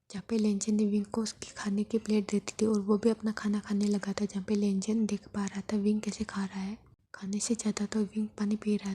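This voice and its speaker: Breathy whispering woman storyteller. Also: medium pitch. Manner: soft whispering, steady retelling.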